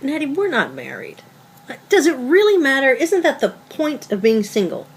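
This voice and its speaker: A female actor plays a secretive, defensive girlfriend in a naturalistic drama read. Her voice is on the high side and swings widely in pitch. She speaks haltingly and evasively. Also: big pitch swings. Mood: defensive, pleading. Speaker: actor in a script table read.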